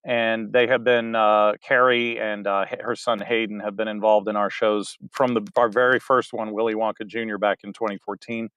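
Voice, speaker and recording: Rambling, smooth-voiced director talking at length. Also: male voice, lowish voice, level tone, moderate pace, hardly any pauses, fairly bright tone, no room sound, low-quality recording. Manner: rambling, many fillers and restarts. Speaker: theater director, guest. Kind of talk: casual interview chat.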